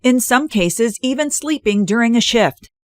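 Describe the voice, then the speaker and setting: A woman's voice: a little high, animated, moderate pace, dry recording, clean studio sound. An American woman narrating a scripted news report as a correspondent.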